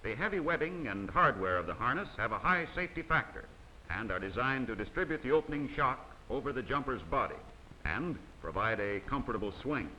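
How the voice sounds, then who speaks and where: A man reading scripted narration for an instructional training film in a formal, measured, instructive manner. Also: mid-range voice, animated, bright, forceful tone, low-quality recording. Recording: faint hiss of old 16mm optical soundtrack.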